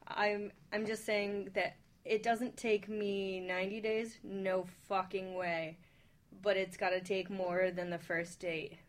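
A woman with a medium-pitched voice chats casually on a radio panel. She is playful and cheeky in how she puts things. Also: flat delivery, slow. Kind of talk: casual chat.

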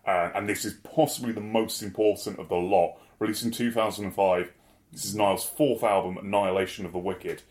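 A man's voice: fairly low pitch, fairly even intonation, fairly quick, darkish tone. A man co-hosting a podcast, talking casually and enthusiastically about albums, with many fillers and restarts. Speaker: podcast co-host. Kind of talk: casual music discussion.

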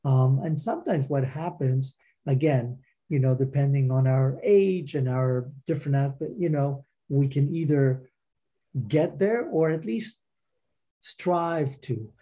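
A middle-aged man, a teacher and author being interviewed, explains his practice informally in halting, circling explanations. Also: medium pitch, mellow, husky. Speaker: interview guest, meditation movement teacher and author.

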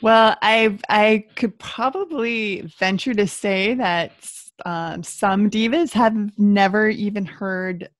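A woman's voice: mid-range voice, slow pace, dry recording. A woman hosts a podcast interview in an upbeat, chatty way, with plenty of "um"s and "uh"s. The recording is dry and close, with no room sound. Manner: enthusiastic, chatty with fillers. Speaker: podcast host.

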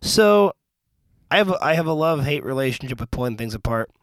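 A man's voice: medium pitch, expressive, fairly quick, no room sound. A young man co-hosting a podcast, chatty and teasing, often jumping in to interject. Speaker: podcast co-host. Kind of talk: casual podcast chat.

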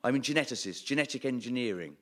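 A man playing a smug, deadpan evil-genius ruler. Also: medium pitch, quick pace, close to an everyday voice. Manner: deadpan, rambling with stammered restarts and chuckles. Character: smug self-styled evil genius male world ruler. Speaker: comedian playing a new supreme commander of the world. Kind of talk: improvised comic interview in character. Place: theatre before a live audience.

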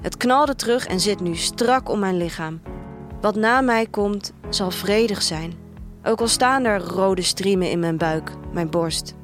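A woman's voice: mid-range voice, unhurried, over music, lightly acted. An actress playing a troubled woman who tells a bleak future tale in an introspective, dramatic monologue for an audio drama. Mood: anguished, intense. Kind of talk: literary first-person audio drama monologue.

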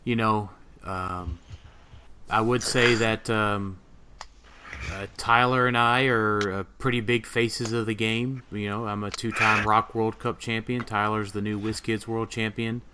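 A man hosting a casual gaming podcast, rambling and often pausing to gather his thoughts. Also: fairly low pitch, little pitch movement, noisy background. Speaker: podcast host and competitive gamer. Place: voice recording for a group podcast.

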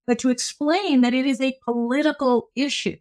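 High-voiced woman, analytical guest. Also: fairly even intonation, darkish tone. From Egypt. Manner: measured, emphatic, lecturing. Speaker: law professor, guest. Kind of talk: interview, analytical explanation.

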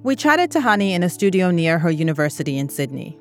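A woman with a fairly low voice narrates a documentary podcast as its host. Her delivery is measured and serious, with music playing softly underneath. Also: sing-song. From East Africa. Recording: music bed behind narration.

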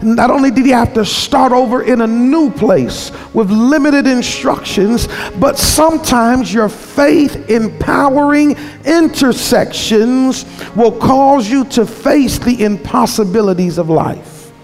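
A man's voice: extremely high pitch, takes it slowly, a little mellow. A man preaching a sermon in an emphatic, repetitive cadence that sometimes slides into half-singing. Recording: reverberant hall, faint music behind.

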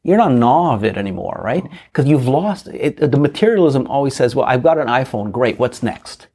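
A man's voice: medium pitch, animated, brisk, warm tone, slightly rough. A man whose first language is Portuguese, talking reflectively in a casual podcast discussion and often adding a questioning "right?". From Portuguese-speaking country. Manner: reflective, asks rhetorical "right?".

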